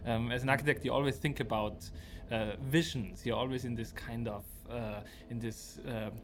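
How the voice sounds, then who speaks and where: A man's voice: mid-range voice, steady tone, moderate pace. A man with an Austrian accent and German as his first language, talking reflectively as an architect being interviewed.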